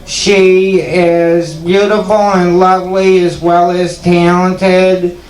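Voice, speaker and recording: A man acting as emcee, introducing the next act with teasing deadpan jokes. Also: high-pitched, slow. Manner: dry, teasing deadpan delivery. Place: stage in an echoey hall. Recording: echoey hall with loud noise.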